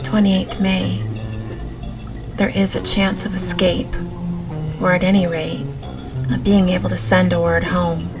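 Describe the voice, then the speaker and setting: A woman reading a gothic novel as an audiobook in a gentle, measured manner. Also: on the low side, gravelly. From Canada. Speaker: audiobook narrator. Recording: music behind the voice. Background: music.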